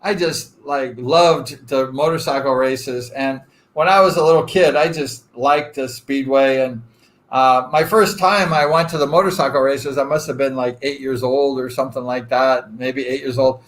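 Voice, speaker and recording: A man's voice: medium pitch, fairly even intonation, normal speed. A man around sixty from Southern California, hosting a casual live chat with viewers in a rambling, joking way with lots of fillers. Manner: rambling and chatty, self-deprecating joking, frequent fillers. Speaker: live-stream host.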